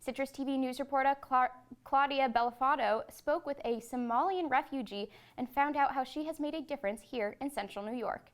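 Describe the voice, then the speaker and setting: A young woman anchoring a student newscast, reading in a clear, measured way. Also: high voice, slight background noise. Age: young adult. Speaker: news anchor. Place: student TV studio.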